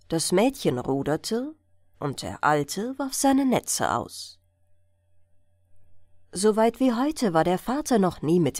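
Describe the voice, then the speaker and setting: A woman narrating a fairy-tale audiobook for bedtime in an expressive, measured storytelling manner. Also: on the low side, no echo, clean studio sound.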